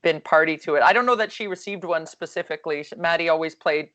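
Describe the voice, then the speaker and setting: A woman hockey coach chatting casually on a video call, with a calm, soothing voice and lots of fillers and restarts. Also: sits low, quick pace, dull audio.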